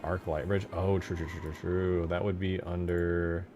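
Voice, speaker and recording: Man, low voice, casual game modding commentary, informal muttering, thinking aloud, music behind. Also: level tone, normal speed, dark tone. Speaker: video game modder.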